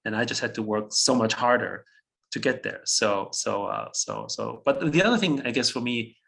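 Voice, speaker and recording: A man's voice: mid-range voice, moderate pace, vocal fry, fairly bright tone, low-quality recording. A German-speaking man in his fifties from Austria, a guest on an interview, telling his story reflectively, chuckling often and restarting phrases.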